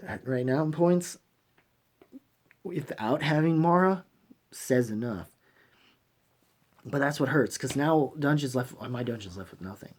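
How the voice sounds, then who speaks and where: A man hosting a fan review channel, giving unscripted, opinionated commentary in a halting, emphatic, blunt way, often rewording his phrases. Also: medium pitch, expressive, darkish tone, breathy edge. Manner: blunt, swears freely.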